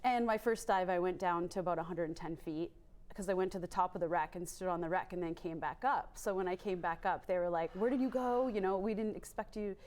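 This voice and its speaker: A woman's voice: on the low side, a little fast, darkish tone. Chatty woman free diver telling stories. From Maine, USA. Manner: chatty, rambling with many fillers. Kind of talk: casual interview storytelling.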